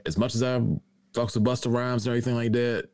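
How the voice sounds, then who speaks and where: A man's voice: mid-range voice, little pitch movement, fast, darkish tone, smooth, no room sound, studio quality. A man on a music review panel chatting casually in loose, slangy, profane language.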